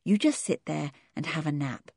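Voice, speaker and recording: A woman's voice: deep voice, normal speed, gravelly, a little mellow, dry recording, clean studio sound. Woman playing a flustered, placating hostess. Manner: soothing, anxious.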